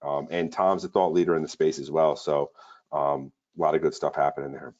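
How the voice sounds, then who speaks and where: A man's voice: extremely low pitch, little pitch movement, a little fast, darkish tone, dull audio. A man co-hosting a sales webinar in an upbeat, repetitive way with many fillers. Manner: upbeat, repeats words, fillers.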